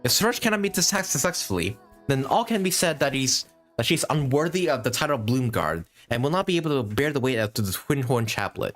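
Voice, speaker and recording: A man reading game dialogue as a gentle yet menacing spirit, in a formal, solemn, deliberate manner. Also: mid-range voice, very animated, faint music behind, voice pushed up. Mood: solemn, threatening.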